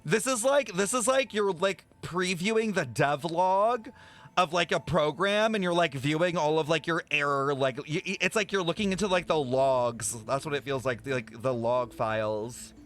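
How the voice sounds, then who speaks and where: A man streaming his reaction to a game, excitable and campy, swearing freely and saying "like" constantly. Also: on the high side, normal speed. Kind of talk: casual reaction commentary.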